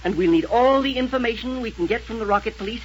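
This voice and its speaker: An actor playing an earnest, fussy scientist who takes charge in a 1930s science-fiction radio serial, urgent and declamatory. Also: male voice, extremely high pitch, quick pace, dull audio. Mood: urgent, decisive. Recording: crackly vintage 1930s radio recording.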